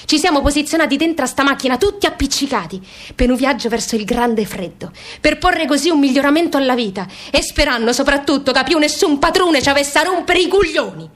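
An actress voicing a pompous, unschooled emigrant with a southern Italian accent, reciting a grandiloquent letter full of mangled formal words in a radio play. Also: female voice, sits high, lively intonation, fairly quick, a bit bright. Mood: grand storytelling. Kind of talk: theatrical comic recitation.

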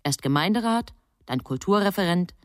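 An actress playing a composed, knowing storyteller, narrating a German radio drama in measured phrases. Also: female voice, lowish voice, animated, no echo, clean studio sound, close to an everyday voice. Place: studio.

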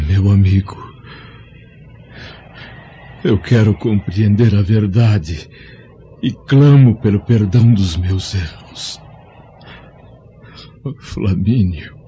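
An actor playing an anguished, repentant Roman senator, grave and pleading, with a Brazilian accent in a radio drama. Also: male voice, fairly low pitch, warm tone. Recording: dull lo-fi radio drama, faint noise.

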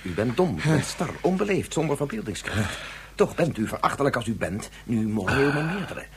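Proud, frustrated male scientist, formal and scornful, highly animated delivery; sci-fi radio drama with faint noise. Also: fairly quick. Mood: ashamed, formal. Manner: formal, exasperated, scornful, articulate. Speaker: physicist. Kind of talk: science fiction radio play acting.

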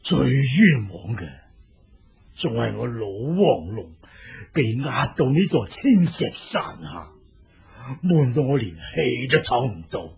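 A man voicing an old yellow dragon in a Cantonese storybook drama, aggrieved and defiant, with a gravelly, husky voice. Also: medium pitch, very animated, slow, exaggerated voice. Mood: bitter, indignant. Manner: formal pleading and indignant muttering.

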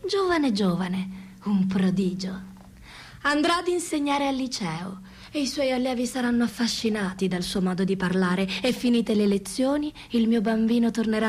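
A woman's voice: medium pitch, lightly acted. An actress in a radio play voicing a woman close to a writer, with a theatrical delivery. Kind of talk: radio play dialogue and monologue.

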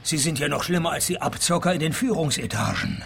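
An actor playing a middle-aged, sardonic private detective, narrating and talking in a deadpan, wry way in a radio play. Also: male voice, a little high, fairly quick, creaky, slightly rough, heavily acted. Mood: dry, sardonic. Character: dry, sardonic, worldly-wise aging male private detective.

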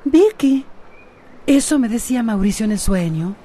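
A woman's voice: on the high side, expressive, moderate pace, mellow. An actress playing a wry, dreamy female friend with a Costa Rican accent in a radio soap opera. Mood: hesitant, earnest. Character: wry, dreamy, secretly pregnant woman friend and confidante.

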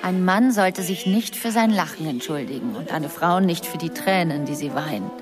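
A woman's voice: lowish voice, expressive, moderate pace, lightly acted. Actress as headstrong young woman, music underneath. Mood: intense, impassioned. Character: headstrong young Japanese woman of 23, frank and defiant. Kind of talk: literary radio drama dialogue. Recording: studio radio drama under music. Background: prominent music.

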